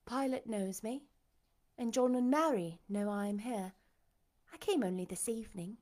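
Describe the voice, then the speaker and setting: Woman acting a gentle, devoted young woman. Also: a little high, moderate pace, close to an everyday voice. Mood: soft, composed. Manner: soft, reassuring, respectful. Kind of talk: audiobook character acting.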